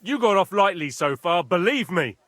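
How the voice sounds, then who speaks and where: An actor playing a blunt, impatient castle guard in a video game. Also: male voice, sits high, lively intonation, moderate pace, a bit bright, dry recording, clean studio sound. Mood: gruff. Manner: gruff, curt.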